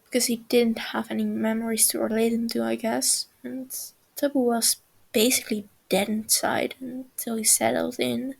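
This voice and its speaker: A woman reading fan fiction aloud, softly and unhurried, sometimes dropping to a whisper. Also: fairly high pitch, little pitch movement, vocal fry, husky, low-quality recording. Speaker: story reader.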